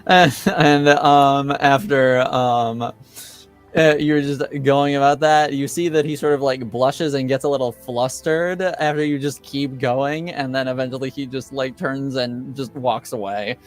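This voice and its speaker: A man narrates a tabletop game as game master. His voice is mid-pitched and his delivery easygoing and halting. Quiet music plays underneath. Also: fairly even intonation.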